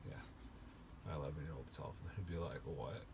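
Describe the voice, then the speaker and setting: A man with a very low voice chats in a casual, joking style. He sounds like a conversational sidekick, with faint ambient noise in the recording. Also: brisk. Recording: noisy room ambience.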